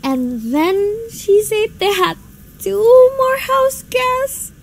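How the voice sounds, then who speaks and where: A woman playing a flustered, excitable young society daughter in a radio drama, exclaiming and stammering dramatically. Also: very high voice, extremely slow, full character voice. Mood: distraught, panicked. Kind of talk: stage-like radio drama acting.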